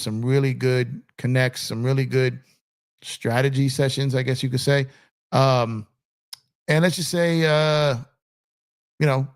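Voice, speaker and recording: A man's voice: mid-range voice, smooth. A man hosting a casual livestream, chatting loosely and reading viewer comments, rambling with many fillers and repeats. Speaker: livestream host. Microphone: streaming setup in a gaming chair.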